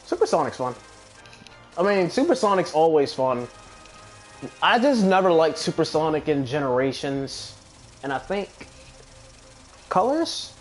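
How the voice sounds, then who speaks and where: A man's voice: a little high, very animated, a little slow, long pauses, poor audio. A man giving casual, rambling gameplay commentary and swearing freely. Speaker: gamer commentating on own play. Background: video game music.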